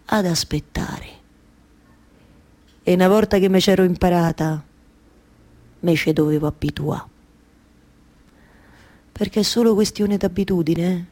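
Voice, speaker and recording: An actress playing a stubbornly hopeful waiting wife in a theatrical monologue, with a colloquial Roman accent and insistent repeated phrases. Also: female voice, fairly low pitch, warm tone, breathy edge, full character voice. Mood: wistful, resigned. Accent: central Italian, Roman. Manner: incantatory, repeating phrases over and over.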